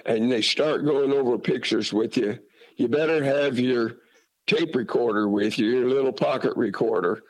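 A man's voice: medium pitch, moderate pace, rough recording. A man from Utah talking casually about family history, folksy and rambling with many fillers and restarts. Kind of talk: casual conversation.

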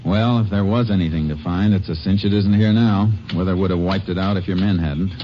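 A man playing a steady, shrewd Texas Ranger. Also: low voice, a little fast, close to an everyday voice. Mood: calm, probing. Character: calm shrewd male Texas Ranger investigator. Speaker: lead actor. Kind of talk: 1950s radio drama acting. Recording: dull old radio recording with faint hiss.

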